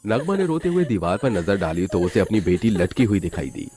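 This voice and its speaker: A man's voice: on the low side, expressive, a little fast, mellow, faint music behind. A man narrating a scripted story in a dramatic storytelling style.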